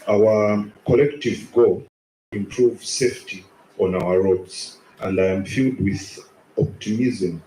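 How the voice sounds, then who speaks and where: A man, a company representative, giving a halting formal speech at a launch event. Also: fairly low pitch, warm tone, echoey room, slight background noise, low-quality recording. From Zambia.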